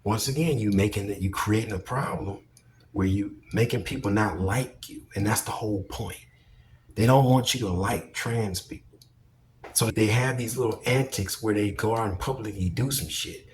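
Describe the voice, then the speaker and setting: Blunt, low-voiced man with background noise. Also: moderate pace, a little mellow. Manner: blunt, profane, emphatic. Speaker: commentary vlogger. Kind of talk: casual opinion commentary.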